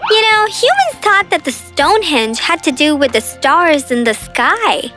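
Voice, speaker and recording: A woman's voice: high-pitched, sing-song, a little slow, bright, forceful tone, soft background music, full character voice. A woman voicing a cheerful, curious young girl in a children's cartoon. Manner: curious, friendly.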